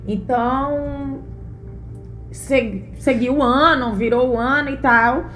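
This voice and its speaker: A woman's voice: high-pitched, slow, fairly bright tone, soft background music. A woman, a mother answering interview questions in an earnest, thoughtful way with frequent fillers. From Bahia, Brazil. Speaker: interview guest, mother and former gymnast. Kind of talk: relaxed interview conversation.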